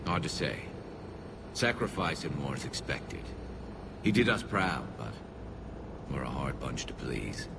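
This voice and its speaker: A loyal, weary alien soldier from a sci-fi game. The voice is extremely low and creaky, with a measured, reflective delivery. Loud vehicle noise runs behind it. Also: male voice, very animated, heavily acted. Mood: sombre, reflective. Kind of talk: video game cutscene acting.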